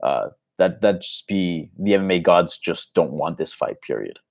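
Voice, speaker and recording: Male host, from Colombia, Spanish first language, lower voice, casual conversational live Q&A delivery. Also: animated, normal speed, gravelly, a little mellow. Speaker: host of an MMA live Q&A show. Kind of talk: casual unscripted live Q&A commentary.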